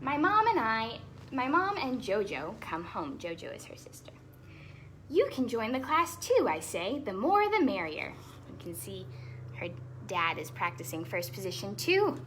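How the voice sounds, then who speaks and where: A woman's voice: fairly high pitch, big pitch swings, measured pace, a bit bright, a little hiss or hum, poor audio. A woman hosting a children's storytime, reading aloud expressively with chatty asides and explaining words simply for young listeners.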